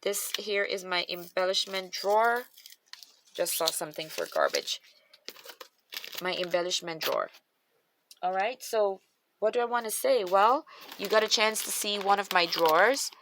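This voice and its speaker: A woman's voice: medium pitch, sing-song, normal speed, pauses often, fairly bright tone, a little hiss or hum. A woman with a Guyanese accent, chatting casually as she shows off her craft supplies and thinks aloud with trailing restarts. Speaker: craft channel host.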